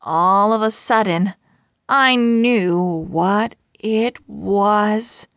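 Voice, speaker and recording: A woman reading a children's storybook aloud as a read-aloud host, in an expressive, deliberate way. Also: medium pitch, no echo, clean studio sound. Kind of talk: slow scripted storybook read-aloud for young readers.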